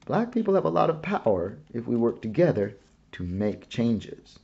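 A man's voice: medium pitch, very animated, normal speed, creaky, warm tone, slightly rough, highs cut off, exaggerated voice. A man voicing an earnest, frustrated father in a read-aloud picture book, speaking firmly.

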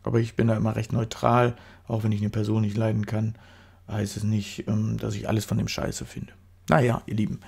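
Gravelly-voiced man with a stuffy nose. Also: medium pitch, darkish tone. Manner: rambling, chatty, self-correcting with fillers. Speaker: photography tutor. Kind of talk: casual screen-recorded tutorial voice-over. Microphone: studio microphone.

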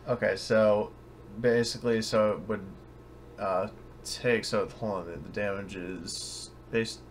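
A man's voice: fairly low pitch, fairly even intonation, a little slow. Hesitant male game master, noticeable background noise. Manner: hesitant, stumbling, unsure of the rules. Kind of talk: casual tabletop game narration. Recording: noisy home recording of online game session.

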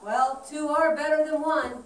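Casting: woman as watchful little animal puppet, high voice, urging a companion on, recorded from afar in an echoey room. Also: fairly even intonation, a little slow. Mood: alarmed, urging. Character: alert, cautious animal traveller puppet warning its companion. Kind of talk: children's puppet show acting. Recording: roomy, distant camera, faint noise.

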